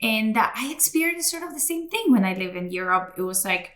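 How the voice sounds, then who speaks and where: A bright, clear-toned woman in her forties, from Colombia, speaking with an American accent. She talks in a loose, chatty flow with plenty of fillers and restarts, laughing easily. The sound bounces around an echoey room. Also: a little high, very animated, brisk. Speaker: guest. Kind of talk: casual interview chat.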